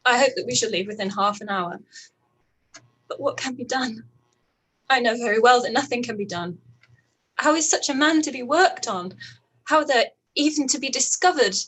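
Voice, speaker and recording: A woman acting a distressed, proud young gentlewoman in a period radio play, with emotional, halting delivery. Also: fairly high pitch, husky. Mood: anguished, despairing.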